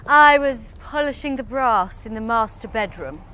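Prim young maid, bright forceful voice, fairly high, theatrical, whodunnit drama. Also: female voice, lively intonation, normal speed, smooth. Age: young adult. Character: prim young English housemaid, a murder suspect.

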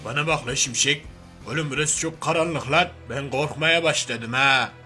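A man's voice: mid-range voice, moderate pace, close to an everyday voice. A man voicing a crude, hot-headed, hairy oaf of a superhero in a comic game cartoon, with brash, slangy, grumbling street talk. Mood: uneasy, threatening. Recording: music behind the voice.

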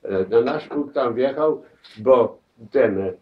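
An elderly man recalling his wartime memories, halting, with many restarts and repeated words, in a crowded living room. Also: mid-range voice. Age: older. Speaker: war veteran interviewee. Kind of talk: spoken war memories. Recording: distant, dull camera audio.

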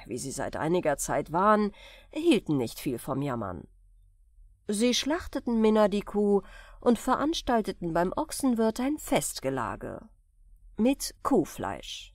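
A woman narrating a comic folk tale for an audiobook. She takes her time, with deliberate pauses and very lively intonation. The sound is close and dry. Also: medium pitch, dry recording. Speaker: audiobook reader. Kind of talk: expressive scripted storytelling of comic folk tales.

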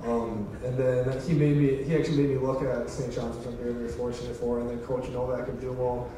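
A man's voice: medium pitch, flat delivery, brisk, dark tone. A man in his twenties answering interview questions on stage in an informal, loose, slangy way. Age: 20s. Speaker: college football player being interviewed. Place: indoor stage in an auditorium. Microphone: handheld microphone. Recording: echoey hall PA, distant, noisy.

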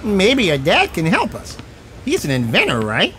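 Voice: medium pitch, wildly swooping pitch, moderate pace. An actor voicing a casual, chatty little bear friend in a cartoon video game. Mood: concerned. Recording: loud music behind dialogue.

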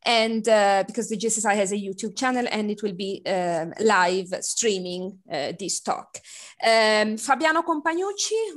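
Female seminar host, 40s, headset mic, rambling and filler-heavy, slightly high. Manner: chatty, hesitant with many fillers. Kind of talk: introducing a speaker on a video call. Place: home room by shuttered window.

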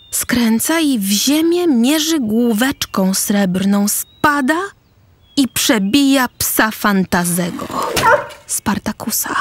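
A woman narrating a radio drama deliberately, pausing between short phrases. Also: medium pitch, breathy edge.

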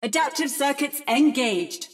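Female game robot, high voice, slow pace, precise, theatrical, adaptive mimic of heroes. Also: expressive, soft background music. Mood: calm, synthetic. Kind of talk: video game voice lines.

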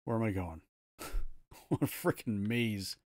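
A man's voice: lowish voice, lively intonation, a little slow, darkish tone, no room sound, studio quality. A man giving casual live gameplay commentary, thinking aloud in short, slangy remarks. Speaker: video game streamer. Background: game music.

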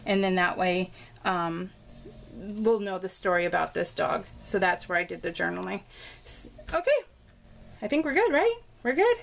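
A woman's voice: lowish voice, lively intonation, moderate pace. A woman chatting casually and informally as she narrates a craft video, telling stories in a rambling way. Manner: rambling, informal storytelling with exclamations. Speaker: craft vlogger showing a scrapbook layout. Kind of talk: casual, chatty real-time craft voice-over. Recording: clear background noise.

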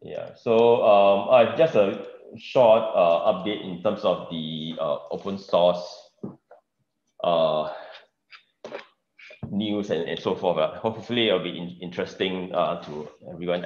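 A man with a Singaporean English accent giving a casual open source news update at a meetup, halting, with many fillers and "right?" tags, over a video call. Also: sits low, a little mellow. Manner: halting, many fillers and restarts, tag questions. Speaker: meetup presenter. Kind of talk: casual tech news talk. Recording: roomy, dull video-call audio.

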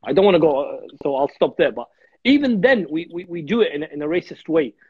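A man's voice: fairly high pitch, very animated, fast talker, breathy edge, no room sound, dull audio. A man in his thirties from Iraq, a guest speaker in a religious discussion on a video call, earnest and repeating words as he thinks. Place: home room on a video call.

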